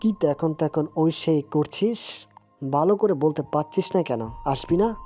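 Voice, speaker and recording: A low, mellow voice from a woman playing a young student who is blunt and suspicious. It is audio-story drama with music behind. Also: a little slow. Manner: blunt, probing. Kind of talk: Bengali audio story acting. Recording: music bed under the voice.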